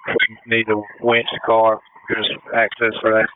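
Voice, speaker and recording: A man's voice: fairly low pitch, completely flat delivery, a little slow. A man working as a firefighter, giving brief replies over a two-way radio. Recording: tinny, slightly noisy radio transmission.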